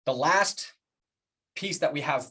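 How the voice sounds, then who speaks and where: A man presenting plans in a public address, speaking earnestly in deliberate phrases with emphatic pauses. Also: fairly high pitch, a bit bright, breathy edge. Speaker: organization leader presenting plans.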